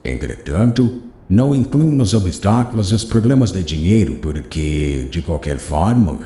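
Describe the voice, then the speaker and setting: A venerable old teacher in a spoken audiobook, deep-voiced and warm-toned. His counsel rises and falls with lively pitch, slow and solemn. The room around him rings with echo. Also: full character voice. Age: older. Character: wise, gentle elderly male master. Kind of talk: audiobook dialogue reading.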